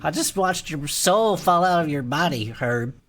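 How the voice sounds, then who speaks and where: A woman's voice: sits low, expressive, normal speed, over loud music. A woman playing a laid-back, goofy, easily amused club buddy who co-hosts a comedy fiction podcast. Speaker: podcast co-host. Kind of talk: comedic improvised in-character banter.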